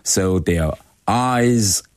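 A middle-aged man from North Korea with a Korean accent, answering interview questions in a measured way, with many "uh" and "you know" fillers. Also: on the low side, smooth, dry recording, clean studio sound. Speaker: guest, former diplomat and lawmaker.

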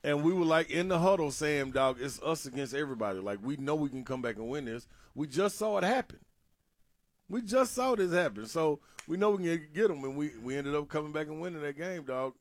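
A man's voice: a little high, brisk, no room sound. A young man co-hosting a sports radio show, talking in a loose, slangy way and laughing often. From Nashville area. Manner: animated, slangy, laughs a lot. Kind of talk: casual sports talk.

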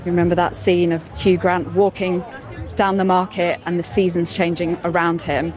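British woman reporter, slightly low voice. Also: level tone, moderate pace, a little mellow, faint music behind, some chatter behind. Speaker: senior producer reporting on location. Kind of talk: on-location radio reporting with interviews.

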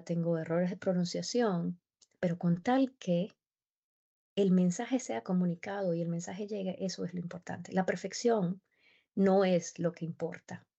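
Animated Spanish-speaking woman, mellow, muffled. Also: lowish voice, gravelly. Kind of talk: conversational interview.

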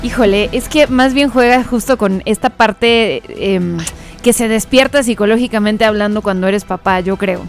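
A woman's voice: mid-range voice, normal speed, soft background music. Enthusiastic woman reviewing films. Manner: enthusiastic, filler-heavy. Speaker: host presenting recommendations. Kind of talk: casual chat, film review.

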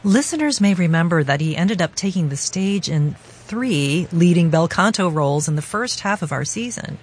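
A woman's voice: fairly low pitch, expressive, normal speed, slightly rough. A woman hosting a radio broadcast, moving between scripted links and interview questions.

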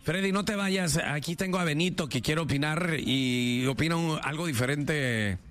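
A man hosting a radio call-in show, firing provocative questions in a lively debate style. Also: a little high, normal speed. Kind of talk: lively talk-radio debate with co-host and callers.

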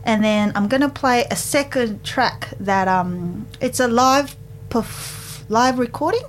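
A woman's voice: a little high, takes it slowly, a little hiss or hum. A woman from Japan who partly grew up in England, hosting a community radio show in a studio with a chatty, friendly presenting style. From Japan, childhood partly in England. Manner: chatty, laughs often. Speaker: radio host. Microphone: studio microphone.

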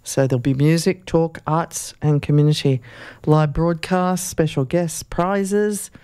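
A community radio host, hoarse and losing their voice, giving station announcements and reading a media release aloud in an unhurried, halting way. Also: medium pitch, steady tone, mellow, dry recording. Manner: unhurried, halting delivery with fillers. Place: radio studio.

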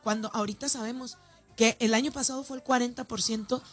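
A woman's voice: on the high side, fast talker. A woman from Ciudad Juárez with a northern Mexican accent, a festival organizer talking animatedly in a radio interview. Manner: animated, chatty, with many restarts. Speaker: festival organizer and councilwoman, guest.

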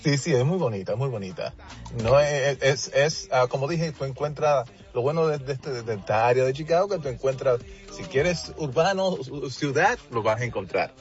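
A man's voice: medium pitch, expressive, normal speed. Dominican man, lo-fi radio guest. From Dominican Republic. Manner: warm, frequent restarts and "así es". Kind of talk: casual phone interview. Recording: dull lo-fi radio audio, faint music.

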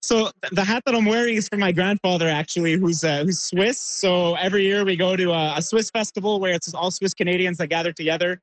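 A man speaks as a fan interviewed on the spot at an outdoor viewing party. He is hesitant and pauses with fillers. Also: high-pitched, dry recording, rough recording.

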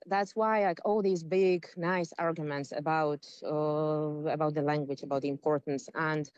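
A woman with a Ukrainian accent and a somewhat low voice, heard in dry sound. She is a guest in a live discussion, arguing emphatically in non-native English phrasing. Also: darkish tone, dry recording, muffled recording. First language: not English. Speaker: interview guest.

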